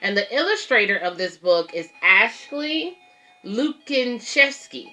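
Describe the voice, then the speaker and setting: A woman's voice: medium pitch, sing-song, measured pace, bright, forceful tone, soft background music, rough recording. A woman hosting a children's read-aloud, reading a picture book and explaining it simply to young listeners.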